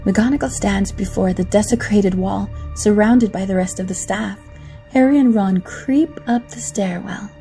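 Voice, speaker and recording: A woman's voice: medium pitch, a little slow, vocal fry, dark tone. A woman reading scripted scene narration for an audio drama. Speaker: story narrator. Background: faint music.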